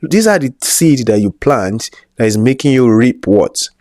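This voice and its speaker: A man's voice: medium pitch, expressive, a little slow, darkish tone, no echo, studio quality. A man with a Nigerian accent co-hosting a casual football chat show, opinionated with rambling asides. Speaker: co-host.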